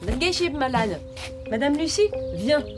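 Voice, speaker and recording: High-pitched, wilful young granddaughter. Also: female voice, expressive, a little fast, music in the background. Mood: pleading, stubborn. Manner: pleading, wilful, mixes French into German. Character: headstrong, pestering young French-speaking granddaughter. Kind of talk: radio drama dialogue.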